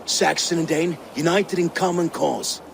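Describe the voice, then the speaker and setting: A man voicing a loyal Viking ally and battle planner in a game cutscene, speaking in an earnest, steady way. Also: a little high, a little slow, gravelly, background noise. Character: loyal male Viking ally, calm strategist. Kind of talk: video game cutscene acting.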